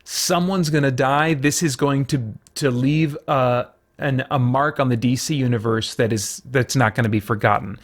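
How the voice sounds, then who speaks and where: A man's voice: mid-range voice, normal speed. A man hosting a review show, talking casually in unscripted commentary and searching for his words as he thinks aloud. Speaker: host of a comic book review show.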